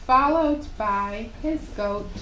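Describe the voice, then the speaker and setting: A woman narrating a children's puppet show story in simple, unhurried phrases with long pauses. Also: a little high, pushed and bright. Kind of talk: slow storytelling for young children. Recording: roomy live recording with faint noise.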